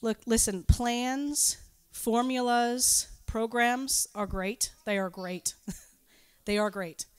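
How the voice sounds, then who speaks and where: A woman's voice: on the high side, steady tone, slow, smooth, no echo. A woman giving an informal sermon with personal anecdotes, talking in a conversational, self-deprecating and giggly way. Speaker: preacher speaking at a church gathering.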